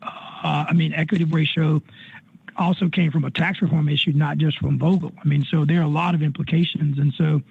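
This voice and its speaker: A man's voice: on the high side, steady tone, brisk, creaky, slightly rough. A man, a company executive speaking informally and folksily, often repeating himself. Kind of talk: informal answers on an earnings call. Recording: narrow-band conference phone line.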